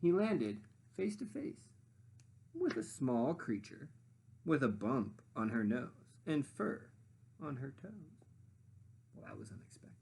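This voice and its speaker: A man narrating a children's story expressively as a read-aloud teacher, pausing to muse and put questions to listeners. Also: mid-range voice, vocal fry, slight background noise. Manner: animated, pausing to muse and prompt.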